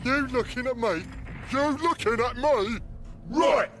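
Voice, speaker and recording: An actor playing a loud, aggressive, thuggish alien warrior in a radio comedy. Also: male voice, extremely high pitch, a little slow, heavy background noise. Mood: belligerent outrage. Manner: aggressive, confrontational. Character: belligerent alien armor fiend, loud and thuggish. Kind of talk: radio comedy drama acting.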